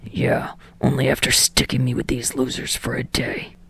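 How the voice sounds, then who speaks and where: A woman voicing a grumpy, scornful young man who mutters in a rough, breathy half-whisper. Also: medium pitch, monotone, normal speed, bright, forceful tone, no room sound, pitched below the performer's own voice, exaggerated voice. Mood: surly scorn. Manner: muttered, scoffing.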